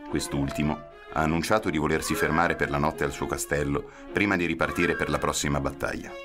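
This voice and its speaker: A man's voice: very deep voice, flat delivery, brisk, over music. A man giving dramatic scripted narration for a radio drama.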